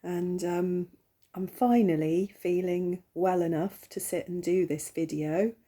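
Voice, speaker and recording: A woman hosting a tarot vlog, talking casually in a croaky, hoarse voice, hesitant and rambling with many pauses. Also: on the low side, fairly even intonation, dark tone. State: hoarse, recovering from illness. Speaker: tarot channel host. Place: at home, seated.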